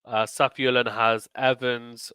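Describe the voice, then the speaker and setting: A male sports host with a bright, forward voice and a close, dry sound. He talks in a chatty, emphatic way, thinking aloud through his commentary, with a slight croak from a recent illness. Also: mid-range voice, flat delivery, takes it slowly, no echo. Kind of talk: casual tournament preview commentary.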